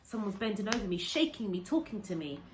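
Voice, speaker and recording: A woman teacher reading a children's story aloud, dramatic and emphatic, with deliberate pauses and shifts in volume. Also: mid-range voice, very animated, noisy background. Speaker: primary school teacher reading to a class.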